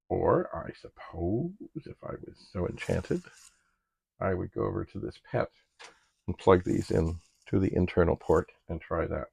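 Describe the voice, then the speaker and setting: A middle-aged man narrating a casual hands-on demonstration, musing haltingly aloud to himself with droll, slightly formal turns of phrase. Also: deep voice, vocal fry, darkish tone, slightly rough. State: frequent coughing and throat clearing. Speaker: retro computer hobbyist and video host.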